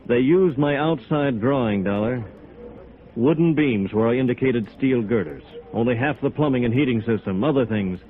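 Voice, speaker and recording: An American actor playing a bitter, resigned architect in a 1950s radio noir, terse and sardonic. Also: male voice, medium pitch, moderate pace, narrow, tinny audio, lightly acted. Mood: bitter, indignant. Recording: band-limited vintage radio recording.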